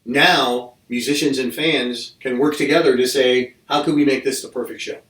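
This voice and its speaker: A man's voice: mid-range voice, fairly even intonation, moderate pace, a bit bright. Rambling male podcast host, roomy distant sound. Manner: rambling, restarts sentences, jokey. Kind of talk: casual interview chat.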